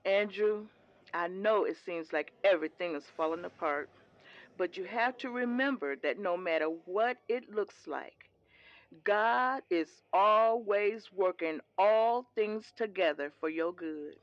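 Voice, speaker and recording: Actress as older church-going mama, low voice for a woman, patient n reassuring, kinda muffled dry audio drama sound. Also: unhurried, dry recording, lightly acted. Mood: gently counseling. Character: devout older mother, firm but tender.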